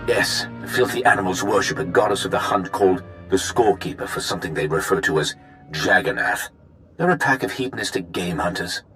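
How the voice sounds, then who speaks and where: Suave, swooping low-voiced corporate executive. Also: male voice, lively intonation, brisk, a bit bright. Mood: dismissive. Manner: suave, glib, wordy corporate formality. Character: smooth, self-serving male corporate research executive in science fiction. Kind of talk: video game cutscene acting. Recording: music behind the voice.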